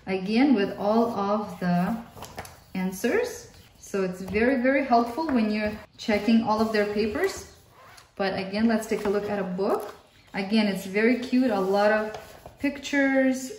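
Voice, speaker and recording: A woman's voice: medium pitch, unhurried, darkish tone. Russian-accented mother in an echoey room. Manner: friendly, explanatory, repeats words for emphasis. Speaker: homeschooling mother. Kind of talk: casual workbook flip-through review. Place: indoor room at a table. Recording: roomy, distant mic, faint hiss.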